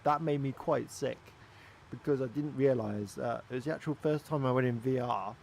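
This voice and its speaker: A man's voice: medium pitch, normal speed, vocal fry, a little mellow, a little hiss or hum. A man from Wales with a Welsh accent, giving casual, chatty commentary while flying a flight simulator. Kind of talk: casual gaming commentary with chat.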